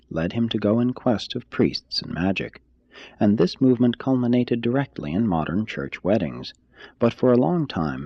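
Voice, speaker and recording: A man reading a scripted expository text aloud like an audiobook, in a formal, measured manner. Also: on the low side, darkish tone, husky, dry recording, highs cut off. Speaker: reader, narrator.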